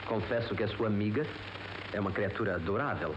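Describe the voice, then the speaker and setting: Suave admirer, very low voice, old radio soap, echoey noisy recording. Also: female voice, quick pace, highs cut off. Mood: smitten. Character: suave admirer praising a lady friend.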